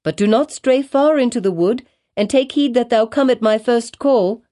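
A woman's voice: on the high side, normal speed, warm tone, pitched above the performer's own voice, lightly acted. A woman voicing a grave, weary mother who speaks earnestly and firmly to her child in old-fashioned phrasing. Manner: earnest, commanding, archaic phrasing. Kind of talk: dramatized audiobook reading in archaic English.

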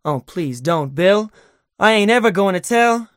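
A man voicing a terrified tied-up captive who wails and pleads in a high, strained voice for a storybook reading. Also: animated, unhurried, a bit bright, dry recording, clean studio sound. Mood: terrified pleading.

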